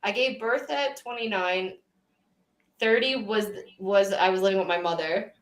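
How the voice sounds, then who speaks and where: Woman in her thirties, Long Island accent, lively storyteller, live podcast chat. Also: mid-range voice, measured pace, crowd noise, low-quality recording. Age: 30s. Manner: animated storyteller, acts out quotes. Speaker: podcast co-host. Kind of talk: casual live-stream chat.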